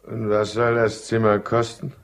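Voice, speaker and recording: A bitter middle-class drunkard in a radio drama, his voice low. He speaks in a deliberate literary way that can turn peevish and commanding. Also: completely flat delivery. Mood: brisk, commanding. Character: bitter, runaway alcoholic middle-class husband telling his downfall. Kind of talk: German radio drama narration and acting.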